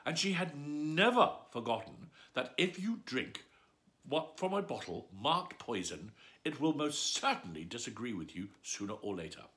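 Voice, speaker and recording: A man's voice: mid-range voice, sing-song, normal speed, sharp tone. A man reading a children's story aloud as a bedtime storyteller, with a theatrical, swooping delivery.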